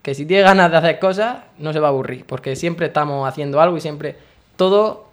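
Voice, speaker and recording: Earnest young man, slightly high voice. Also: a little fast. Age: young adult. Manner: earnest, devout, talks at length. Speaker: guest, brotherhood member. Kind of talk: radio interview.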